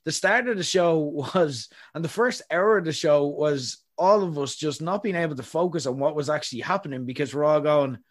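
A man's voice: a little high, a little fast, few pauses. A young adult man, hosting a podcast and giving rambling, opinionated takes. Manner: rambling, opinionated, frequent fillers. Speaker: podcast host. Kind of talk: casual chat.

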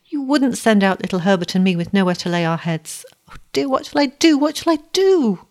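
A woman playing storybook flower girl of seventeen, high voice, anxious, devoted, emotional pleading. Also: sing-song, fairly quick, creaky, darkish tone, exaggerated voice. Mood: frightened pleading.

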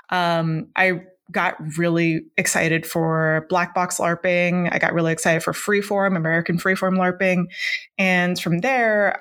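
A woman's voice: on the low side, a bit bright. A young woman from Hong Kong whose first language is Cantonese, talking casually about her work as a game designer, with lots of fillers and restarts. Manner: chatty, many fillers and restarts. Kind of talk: casual interview conversation.

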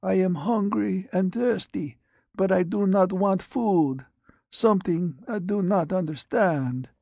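A man voicing a frail, withered young patient who speaks, weak and halting. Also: sits high, creaky, a little mellow, no echo, studio quality, pitched above the performer's own voice, full character voice. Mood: weak, dazed. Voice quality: cracked whisper.

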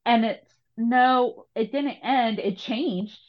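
A woman's voice: a little high, slow, lo-fi sound. A woman hosting a conversational podcast interview, engaged and affirming as she builds on what the guest says.

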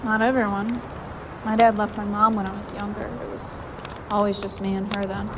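A woman's voice: mid-range voice, little pitch movement, normal speed, lightly acted. Friendly young woman over noisy ambience played by an actress. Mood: curious, open. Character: chatty, apologetic, friendly young woman traveller. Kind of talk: audio drama acting.

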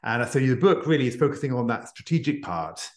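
A man's voice: medium pitch, very animated, a little fast. A middle-aged man speaking earnestly and reflectively as an interview guest. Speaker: podcast guest, executive coach and author. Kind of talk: conversational interview. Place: indoor room, remote video call. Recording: echoey room on a remote call.

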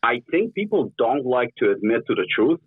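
A man's voice: mid-range voice, big pitch swings, normal speed, husky, dry recording. Man in his forties with mixed accent. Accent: mixed Russian-tinged. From Ukraine. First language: Russian. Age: 40s. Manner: candid, blunt, swears freely. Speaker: guest. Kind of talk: casual podcast interview. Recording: tinny phone-like line.

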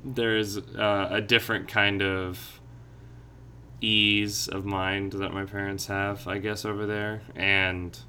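A man's voice: fairly low pitch, level tone, slow, bright, forceful tone, a little hiss or hum. A man chatting casually on a podcast, reflective and meandering as he thinks aloud.

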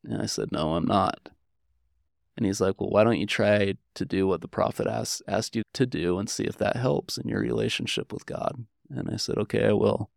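Low, gravelly American man explaining earnestly. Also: fairly even intonation, normal speed. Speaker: interview guest, historian. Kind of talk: conversational explaining and personal storytelling.

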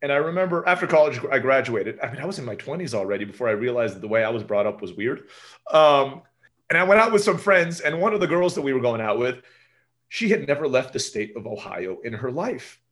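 A man's voice: medium pitch, sing-song, fast, a bit bright. A man in his forties with an American accent, a native Turkish speaker raised in Turkey, telling stories as an interview guest and often tagging his sentences with "right?". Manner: rambling, tag questions like "right?". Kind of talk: casual interview talk.

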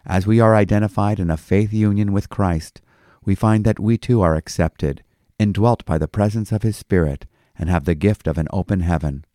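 A man speaking as a pastor, giving measured Bible commentary and reading scripture in a devotional style. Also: on the low side, dark tone, no room sound, studio quality. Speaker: pastor and host. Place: small office studio.

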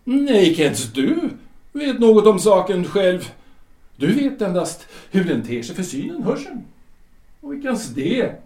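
Guy doing a solemn otherworldly guide for the dead, high voice swinging up and down, roomy echo. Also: sing-song, mellow. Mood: probing, didactic. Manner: slow, solemn, questioning like a teacher. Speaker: guide of the afterlife. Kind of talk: audio drama acting. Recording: roomy reverberant room.

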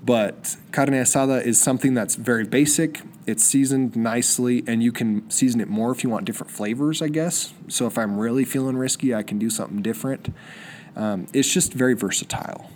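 A man's voice: medium pitch, a little fast, a little mellow, a little hiss or hum. A young man hosting a solo podcast, sniffly with a cold, rambling eagerly with many restarts. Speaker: podcast host. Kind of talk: solo casual podcast monologue.

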